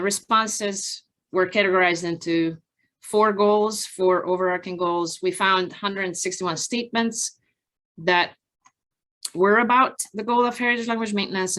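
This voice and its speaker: A woman researcher giving an academic presentation from slides in a measured, halting, explanatory way with frequent pauses. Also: lowish voice, a bit bright. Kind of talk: conference research presentation, partly improvised from slides.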